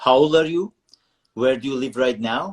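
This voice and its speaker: Man, Persian accent, very fast talker, live-stream host, hesitant fillers. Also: medium pitch. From Iran. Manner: switches to Persian to summarize, many filler sounds. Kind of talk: Instagram live chat, interpreting. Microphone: phone or computer on a live stream.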